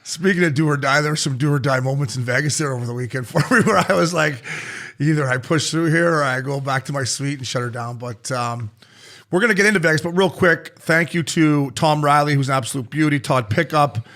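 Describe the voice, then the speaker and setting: A young man co-hosting a podcast, telling stories in a rapid, animated way and swearing often. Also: a little high. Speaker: podcast co-host. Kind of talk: casual podcast chat.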